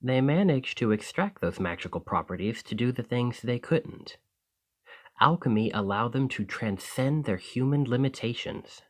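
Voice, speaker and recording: A man's voice: mid-range voice, animated, moderate pace, natural voice. A man playing a friendly, earnest, easily flustered alchemist in an ASMR roleplay, talking conversationally to the listener. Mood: enthusiastic explaining. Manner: conversational, enthusiastic, hesitant when embarrassed.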